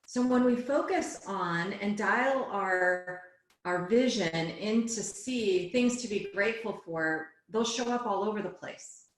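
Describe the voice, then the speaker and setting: A woman yoga teacher guiding a relaxation and meditation class in a soothing, unhurried way, phrase by phrase. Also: mid-range voice. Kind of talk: guided relaxation and meditation instruction. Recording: echoey, roomy sound.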